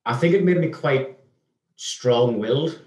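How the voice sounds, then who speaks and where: A man's voice: mid-range voice, measured pace, a little mellow. A man in his thirties with a Northern Irish accent, a guest answering questions in a hesitant way with many restarts. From Northern Ireland. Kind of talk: casual interview chat. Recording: echoey room.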